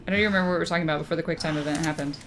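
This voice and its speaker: A woman's voice: low-pitched, steady tone, very fast, background noise. A woman hosting a casual let's play, joking and playfully sarcastic as she comments on the game. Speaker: gaming channel host. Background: loud game music and audio.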